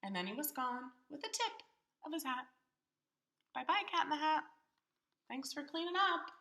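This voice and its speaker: A woman reads a picture book to young children with theatrical expression. Her voice is high, very bright and animated, and the room sounds echoey. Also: gravelly. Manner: theatrical, pauses to question young listeners. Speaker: children's storybook reader.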